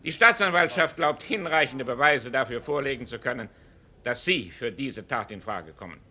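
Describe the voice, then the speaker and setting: An actor playing a stern, formal judge in a radio crime play, speaking in a measured, declamatory way. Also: male voice, medium pitch, animated, bright, forceful tone. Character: stern formal judge reading out a murder charge.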